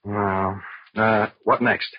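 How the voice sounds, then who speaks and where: Husky, deferential junior agent. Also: male voice, lowish voice, flat delivery, very slow, no room sound, heavily acted. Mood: attentive. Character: deferential, inquisitive male junior FBI agent. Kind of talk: 1940s radio crime drama acting. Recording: dull, dry old radio recording.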